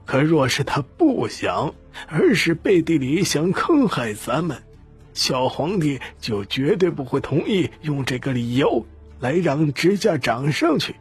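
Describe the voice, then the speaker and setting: A man voicing a shrewd old patriarch in an audiobook, calm and scheming, with deliberate, weighty delivery. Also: on the high side, slightly rough, faint music behind, pitched above the performer's own voice, full character voice. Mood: sly, confident.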